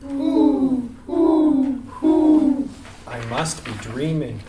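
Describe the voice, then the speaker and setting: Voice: mid-range voice, normal speed, very noisy, natural voice. An actor playing a small, naive baby rabbit who is lonely, hopeful and politely earnest. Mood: earnest, insistent. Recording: echoey room.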